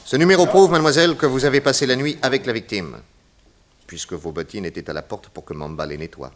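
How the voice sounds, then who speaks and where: An actor playing a self-assured, logical private detective, delivering measured deductions in a theatrical 1960s radio crime drama. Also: male voice, medium pitch, close to an everyday voice. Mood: confident, accusing.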